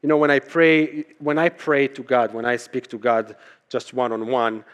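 A man's voice: medium pitch, little pitch movement, moderate pace. Male pastor, native Hebrew speaker, preaching emphatically. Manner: emphatic, repeats key phrases for weight. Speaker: pastor preaching to his church. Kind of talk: conversational sermon with rhetorical questions.

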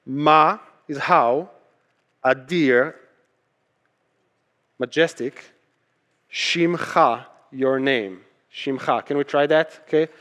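A man's voice: on the high side, slow pace, long pauses, a bit bright. A man who is a native Hebrew speaker, preaching as a pastor in a conversational sermon style, emphatic, repeating key phrases and posing rhetorical questions. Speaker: pastor preaching to his church.

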